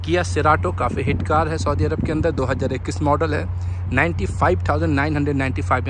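Man reviewing cars over outdoor noise. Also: mid-range voice. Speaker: car vlogger. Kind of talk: casual walk-around car review with English words mixed in. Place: outdoor used-car parking lot at night. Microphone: handheld phone, behind the camera. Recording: noisy outdoor handheld phone recording.

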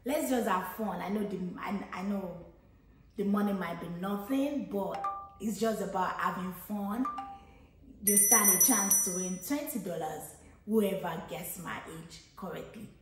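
A woman's voice: mid-range voice, measured pace, music in the background. A woman in her thirties from Nigeria with a Nigerian accent, chatting casually as a vlogger and thinking aloud with frequent restarts. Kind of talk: casual get-to-know-me chat. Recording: very echoey room.

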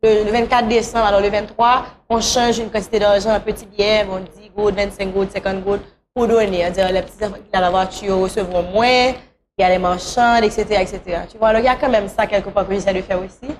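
A woman's voice: medium pitch, steady tone, fast talker. A woman, speaking conversationally as a guest in a talk show interview. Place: small talk show studio, on a couch.

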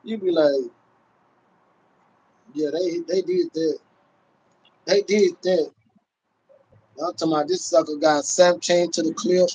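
A man's voice: on the high side, fairly even intonation, a little slow, long pauses, dull audio, background noise. A middle-aged man talking casually about guns on a phone livestream from a small room at home, swearing and laughing as he goes. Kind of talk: casual chat. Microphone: phone held at arm's length. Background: fireworks outside.

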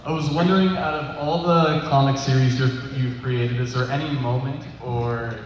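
A man of medium pitch speaks casually as a fan at a question session. The recording is distant and muffled, full of hall reverb. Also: steady tone, moderate pace. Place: large convention hall. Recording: muffled, echoey hall, distant, faint noise.

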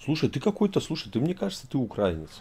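A man's voice: medium pitch, huge pitch swings, quick pace, a little mellow, breathy edge. A middle-aged man from Tajikistan hosting a heated video-chat argument, lecturing and emphatic, talking over a video call from an indoor room. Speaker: host.

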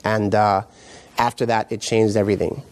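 A middle-aged man from Jamaica, raised in New York, giving his personal testimony as a guest pastor in a halting, reflective way. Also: lowish voice, level tone. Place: indoor TV studio set, seated.

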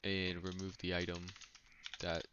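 A man's voice: deep voice, completely flat delivery, unhurried. A man explaining code as he types, thinking aloud with small slips. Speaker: coding tutor. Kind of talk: screen-recorded coding walkthrough. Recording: dull lo-fi mic with noise. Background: keyboard typing and clicks.

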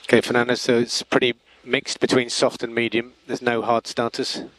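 A man working as a race engineer gives calm, methodical, terse instructions over team radio, repeating key calls. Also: mid-range voice, moderate pace, poor audio. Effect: team radio transmission. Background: vehicle hum and noise.

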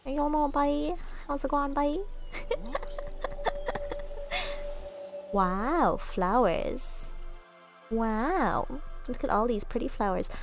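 A woman's voice: high-pitched, animated, very slow, long pauses. Young Cork woman with a toned-down Irish lilt, rambling and repetitive game-stream banter, faint music underneath. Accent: softened Cork Irish. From Ireland, Cork. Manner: chatty, rambling, laughs often, repeats phrases. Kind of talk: casual gaming stream chat.

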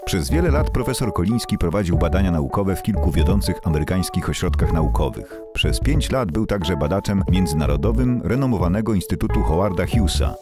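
A man reading scripted documentary narration as a voice-over, smooth and even. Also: sits low. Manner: smooth, even delivery of prepared text.

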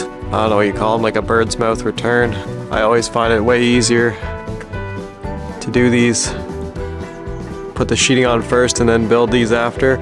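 Male DIY vlog host, plain-spoken and informal, mid-pitched with flat, steady delivery, explaining practical steps over clear background music. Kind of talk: casual explanatory walkthrough of construction work.

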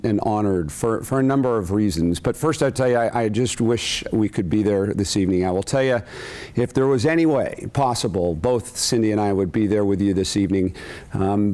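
A man in his fifties, an army general, delivering a formal acceptance speech to camera in an earnest, deliberate manner with emphatic repetition. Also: on the low side, darkish tone. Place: furnished room indoors, seated in an armchair.

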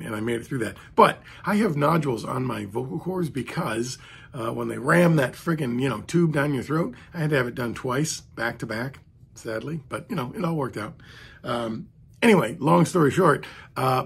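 A hoarse man talking in a rambling, chatty way to the camera from a home music room, with a raspy voice from vocal cord strain. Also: mid-range voice, a bit bright. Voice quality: hoarse, gravelly. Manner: rambling, chatty, self-deprecating. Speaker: trumpet hobbyist vlogger. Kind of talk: casual talk to camera. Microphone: studio mic beside camera.

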